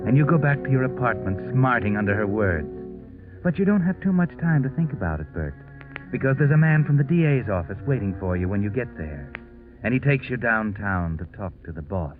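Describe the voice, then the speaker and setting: Over prominent music on a dull 1940s radio recording, an all-knowing American narrator. His low voice is sardonic, wry and needling. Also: very animated, brisk. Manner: wry, needling, second-person address. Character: sardonic omniscient narrator taunting the protagonist.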